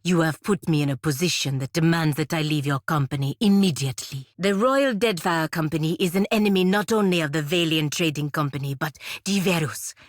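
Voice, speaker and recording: A woman's voice: deep voice, fairly quick, hardly any pauses, no echo, clean studio sound, natural voice. An actress playing a proud, stern patriot warrior woman in a fantasy video game, speaking formally and earnestly. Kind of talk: scripted video game character dialogue.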